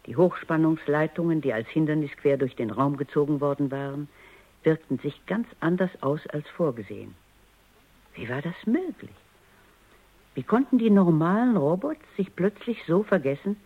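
Precise, stern woman scientist, muffled recording. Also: low voice. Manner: precise, probing, authoritative. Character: female robot scientist, stern, analytical, controlled. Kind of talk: radio drama narration and dialogue. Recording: dull, lo-fi radio drama recording.

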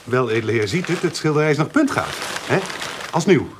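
An actor playing a cunning fairground rogue in a Dutch audio drama, colloquial, stammering and haggling or servile by turns. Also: male voice, a little high, animated, noisy background, natural voice. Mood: servile, evasive. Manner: colloquial, hesitant, halting with many stammers.

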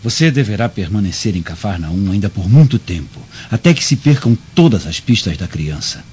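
A Brazilian man acting a vengeful, desperate conspirator in a radio drama, with measured, solemn phrasing. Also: fairly low pitch, lively intonation, darkish tone, slight background noise, close to an everyday voice. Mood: conspiratorial, assured. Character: vengeful desperate male conspirator against Roman masters. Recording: muffled lo-fi audio, music underneath.

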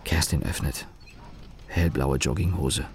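An actor playing a disaffected, sardonic young man who narrates his own radio drama in a deadpan, grumbling way. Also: extremely low pitch, unhurried. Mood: deadpan, disgruntled. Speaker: narrator and main character. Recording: noisy background ambience.

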